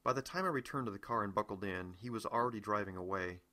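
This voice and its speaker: A man's voice: lowish voice, fast talker, sharp tone. A middle-aged American man reading his own novel aloud as first-person audiobook narration.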